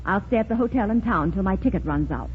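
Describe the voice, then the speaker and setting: A woman's voice: mid-range voice, lively intonation, fast talker. A middle-aged woman acting a stern, disapproving, protective aunt in a radio drama, proper and tart in her phrasing. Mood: resigned, fond. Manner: crisp-worded, proper, tart. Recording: muffled, hissy old radio broadcast.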